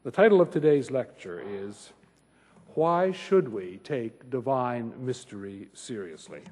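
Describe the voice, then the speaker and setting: Measured man introducing a lecturer in echoey hall. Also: mid-range voice, expressive, darkish tone, husky. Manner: measured, formal, dryly humorous. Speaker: host introducing a guest lecturer. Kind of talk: scripted, witty lecture introduction. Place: large lecture hall at a university. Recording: echoey hall, slightly muffled voice.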